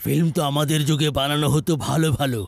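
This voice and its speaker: A man voicing a nostalgic middle-aged uncle in a cartoon, genial and lively. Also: a little high, fast, vocal fry, slight background noise.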